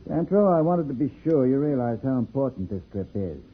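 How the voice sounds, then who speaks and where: A man's voice: medium pitch, big pitch swings, fairly quick, husky, phone-quality sound. A man playing a grave, worried, formal judge in a 1950s radio serial. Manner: earnest, formal. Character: grave, worried male judge of planetary league.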